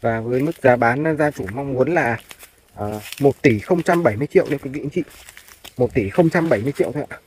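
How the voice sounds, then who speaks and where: A man's voice: mid-range voice, fast, darkish tone. Man giving an outdoor property tour, noisy recording. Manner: polite salesman, frequently addressing viewers. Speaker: real estate agent. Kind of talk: walk-through property tour narration. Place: outdoors, walking through farmland. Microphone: handheld phone. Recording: clear background noise, outdoor phone video.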